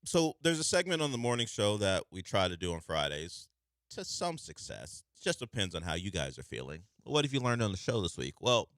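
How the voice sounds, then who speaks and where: A man hosting a sports radio show, talking through the news in a conversational, measured way from a studio microphone. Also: fairly low pitch, sing-song, fairly bright tone, dry recording. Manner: conversational, measured, thinking aloud.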